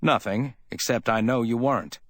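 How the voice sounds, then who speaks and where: A man's voice: mid-range voice, moderate pace, fairly bright tone, dry recording, pitched above the performer's own voice, natural voice. A man reads as a cool hardboiled man in an audiobook story. He is wry and offhand, clipped yet lightly amiable, brushing things aside. The recording is close and dry. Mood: cool, advising. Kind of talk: dramatic audiobook character reading.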